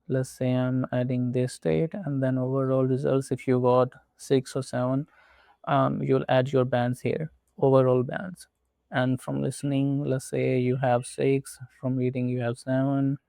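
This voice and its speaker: A man from Pakistan, speaking English as a second language, guides a screen walkthrough step by step. His voice is gravelly and mellow, with a flat, even intonation. The sound is dry and close, with no room echo. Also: mid-range voice. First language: not English. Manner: slow, methodical step-by-step instructions. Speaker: tutorial presenter. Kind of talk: screen-recorded walkthrough narration.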